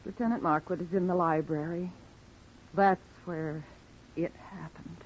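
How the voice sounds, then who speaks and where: An actress playing a prim, hesitant elderly housekeeper, formal and disapproving, in an old-time radio drama. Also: female voice, on the low side, steady tone, a little slow, lightly acted. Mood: hesitant, uneasy. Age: older. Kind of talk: 1940s-50s radio acting. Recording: noisy, muffled old radio recording.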